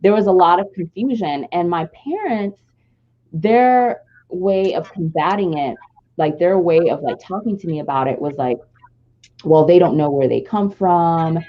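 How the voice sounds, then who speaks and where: A woman's voice: fairly low pitch, a little slow, dull audio. A Nigerian-born woman with no trace of an accent, talking earnestly and reflectively in a long, flowing personal story on a podcast. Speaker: podcast co-host.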